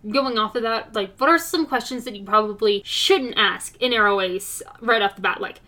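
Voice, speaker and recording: A woman's voice: fairly high pitch, normal speed, sharp tone. A woman interviewing a guest in a conversational way, asking prepared questions. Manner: asks questions, reads them out.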